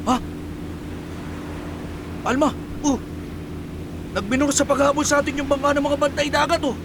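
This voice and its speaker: A man with a Filipino accent playing an excitable, defiant fisherman, exclaiming urgently in a radio drama. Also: extremely high pitch, moderate pace, noisy background.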